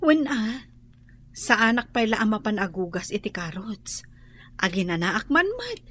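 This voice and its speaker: An actress with a Filipino accent playing an anxious, put-upon young wife in a radio drama. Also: female voice, medium pitch, very animated, unhurried, a bit bright, a little hiss or hum.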